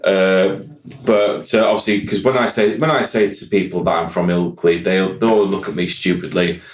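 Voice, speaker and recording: A man's voice: low voice, steady tone, moderate pace, echoey room. A man, a guest musician rambling in casual podcast chat and repeating "like I said". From Yorkshire, England. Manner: rambling, keeps saying "like I said".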